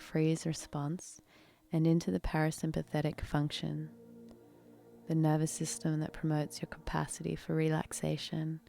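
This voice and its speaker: A woman leading a guided meditation in a soft, breathy, unhurried, soothing manner with long pauses. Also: deep voice.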